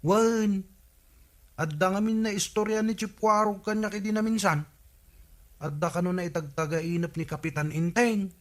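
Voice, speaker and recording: An actor playing a skeptical, chiding villager with an Ilocano Filipino accent in a radio comedy drama. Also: male voice, high-pitched, steady tone, moderate pace, close to an everyday voice. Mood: gossipy.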